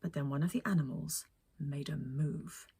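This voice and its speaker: A woman reading a children's story as a storyteller, expressive, with dramatic pauses. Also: low voice, gravelly, slightly rough. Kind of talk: scripted children's story narration.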